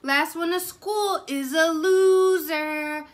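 A woman's voice: extremely high pitch, takes it slowly, voice pushed up. A woman voicing a boastful, teasing young male cat in a children's storybook, taunting and showing off.

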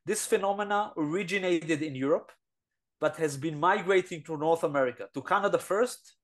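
A man's voice: on the high side, moderate pace. A middle-aged man with an Israeli accent, giving an earnest webinar talk from a small room over a headset, with many fillers and restarts. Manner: earnest, emphatic lecturing with frequent fillers and restarts. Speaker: guest lecturer. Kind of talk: webinar presentation. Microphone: headset on a video call.

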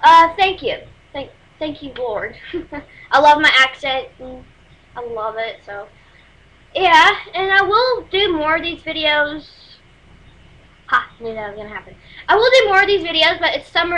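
A woman's voice: high-pitched, bright, forceful tone, low-quality recording. A teenage girl from Texas with a Texas accent, rambling and apologetic through a casual webcam music review at home. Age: teens. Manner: rambling, apologetic, many fillers. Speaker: music vlogger. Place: small room at home, indoors. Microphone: webcam built-in mic.

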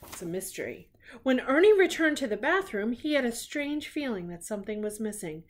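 A middle-aged woman reads a picture book to young children in her living room. Her pitch moves around a lot as she slips between narration and chatty questions. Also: normal speed. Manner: animated, questioning, child-directed. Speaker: grandmother reading picture books to children. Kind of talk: storybook read-aloud with casual asides.

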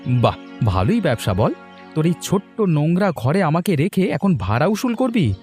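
A man's voice: a little high, sing-song, moderate pace, a little mellow, soft background music. A man playing a young, quarrelsome and resentful village son in a cartoon moral story, with a pushy, argumentative manner. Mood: angry, defiant.

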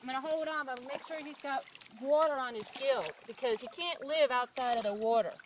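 A woman's voice: high-pitched, a little fast, a little hiss or hum. A middle-aged woman patiently explaining nature to young children outdoors by a farm pond, caught on a home video camera. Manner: patient, encouraging, teacherly. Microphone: handheld home video camera nearby.

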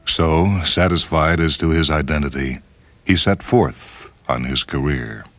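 A man reading a story as a radio host, in a measured, solemn, declamatory dramatic style. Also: very deep voice. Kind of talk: dramatic scripted storytelling narration. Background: orchestral and piano music.

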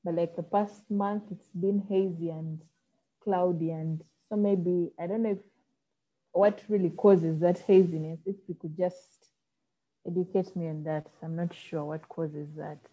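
A woman's voice: fairly low pitch, fairly even intonation, unhurried, warm tone. A woman from Uganda with a Ugandan accent, commenting and asking a question as a participant in an online call. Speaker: audience participant. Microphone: video call. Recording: dull lo-fi video call audio.

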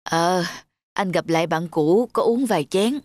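A woman's voice: fairly low pitch, measured pace, a little mellow. A woman voicing a mild-mannered young husband and father in a cartoon.

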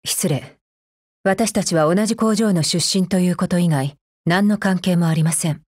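Woman acting a husky, cold female android soldier. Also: lowish voice, moderate pace, darkish tone, no room sound, studio quality, natural voice. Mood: coldly displeased. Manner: formal, clipped, stern and unemotional. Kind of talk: scripted mobile game character voice lines.

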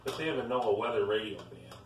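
A man's voice: on the low side, a little fast. A man in casual chat, speaking from off to the side as a companion. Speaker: off-camera companion. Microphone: far from the microphone. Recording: distant, echoey, very noisy pickup.

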